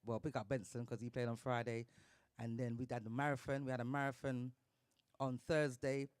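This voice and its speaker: Male podcast host, casual and upbeat, slangy shout-outs, middle pitch, dry close sound. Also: little pitch movement, a little fast, clean tone, no echo. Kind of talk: casual live talk show.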